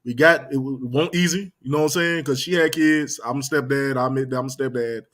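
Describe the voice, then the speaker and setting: Male podcast host, thirties, quick and loose, leans on "man" and "you know what I'm saying". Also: medium pitch, a bit bright. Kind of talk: casual podcast chat.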